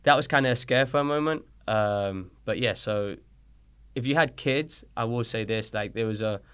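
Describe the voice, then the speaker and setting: Smooth-voiced British-accented man in his thirties. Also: fairly low pitch, normal speed. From Colombia, raised in London. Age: 30s. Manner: animated storyteller, acts out quoted dialogue. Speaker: guest, soccer coach. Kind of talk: casual interview chat.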